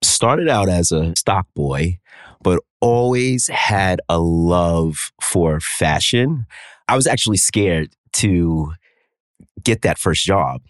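A man's voice: low-pitched, animated, slow, dry recording, studio quality. A man in his thirties from New York with a New York accent, co-hosting a casual chat with playful, theatrical emphasis. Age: 30s. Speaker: co-host, stylist.

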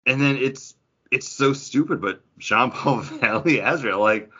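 A man's voice: medium pitch, unhurried, bright, forceful tone, husky, dull audio. A man co-hosting a podcast in casual geek-culture chat, rambling and interrupting himself with enthusiasm. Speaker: podcast co-host.

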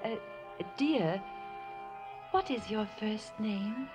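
An actress playing a prim, devoted and brave middle-aged spinster, genteel and earnest, in 1950s radio drama style. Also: female voice, medium pitch, very slow. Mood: shy, tender. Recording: dull old radio recording with music.

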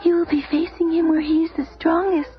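A woman's voice: extremely high pitch, flat delivery, brisk. An actress playing a sweet, fretful young girlfriend in a radio drama, pleading and dependent. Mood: worried, pleading. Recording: muffled old radio recording with music.

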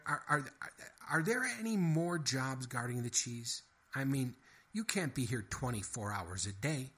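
A man's voice: medium pitch, animated, measured pace, a bit bright, pitched above the performer's own voice. A man voicing a scheming male mouse who pesters with stammering, wheedling questions. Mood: pushy. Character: fast-talking scheming male mouse, pushy.

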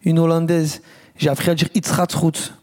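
A man's voice: fairly high pitch, flat delivery, darkish tone, long reverb tail. Joking man with a Marseille accent. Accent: Marseille French. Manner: joking, quick banter. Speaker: guest rapper. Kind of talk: casual chat.

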